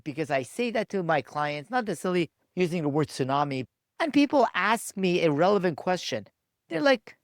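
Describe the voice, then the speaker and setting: A man hosting a leadership podcast, asking questions in a slow, deliberate way. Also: a little high, dry recording, clean studio sound. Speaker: podcast host. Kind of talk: interview hosting.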